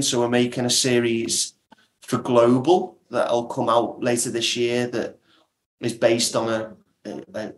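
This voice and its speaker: A man's voice: medium pitch, a little hiss or hum. A man speaking as an interview guest, explaining his work as a producer in casual talk. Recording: roomy, echoey sound.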